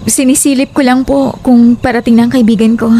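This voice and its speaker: A woman playing a gentle, worried, polite young woman in a horror audio drama, speaking pensively. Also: a little high, level tone, brisk, slight background noise, natural voice. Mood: polite, uneasy.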